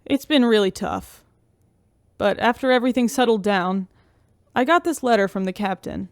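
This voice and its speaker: A woman's voice: medium pitch, animated, moderate pace, no room sound, clean studio sound, close to an everyday voice. A woman playing a tender, grieving exozoologist, speaking earnestly in a sci-fi audio drama.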